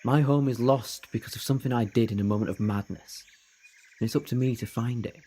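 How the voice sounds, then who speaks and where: A man's voice: medium pitch, fairly quick, warm tone, slightly rough. A man playing an eager, ageless time-travelling adventurer in an audio drama, earnest and persuasive with flippant asides. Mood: wistful, confessional. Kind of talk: fan audio drama acting.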